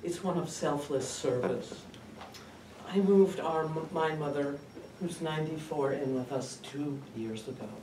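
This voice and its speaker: A man puts on a devoted but exhausted caregiver in her sixties for a stage comedy. The voice is soft and slides up and down from a fairly high base. The room rings around it. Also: unhurried, lightly acted. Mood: sweet, dutiful. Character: devoted, worn-out 68-year-old woman caring for her mother. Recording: distant, roomy, noisy live recording.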